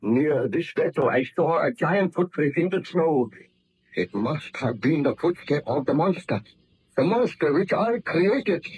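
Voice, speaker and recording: An actor playing a tormented, guilt-ridden aristocratic scientist in an old radio horror drama, with grave, formal, theatrical delivery. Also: male voice, a little high, expressive, a little slow, a little mellow, breathy edge, heavily acted, poor audio. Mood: fervent, haunted.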